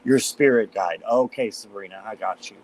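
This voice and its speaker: A man with a slight cold and a hoarse voice, chatting casually as he hosts a live tarot stream and greets viewers by name. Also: fairly low pitch, moderate pace, a little mellow, a little hiss or hum, poor audio.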